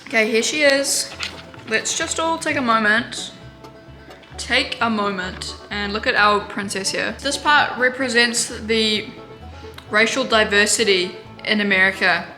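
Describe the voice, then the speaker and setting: A woman vlogging in a home kitchen, chatting in a rambling way with sarcastic, deadpan asides, recorded on a camera mic. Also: fairly high pitch, measured pace. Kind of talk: casual vlog chat. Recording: roomy with music bed.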